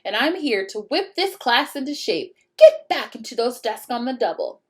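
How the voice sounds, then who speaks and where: A woman's voice: on the high side, big pitch swings, moderate pace. A woman voicing a stern, bossy witch-like substitute teacher with a scratchy voice in a storybook reading. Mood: commanding. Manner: commanding, clipped orders.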